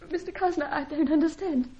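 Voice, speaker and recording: A woman's voice: high voice, a little slow, mellow. An actress playing a helpless, anxious young woman in an old radio adventure serial, with a genteel, earnest, fretful delivery. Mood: worried. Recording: dull, lo-fi old radio recording.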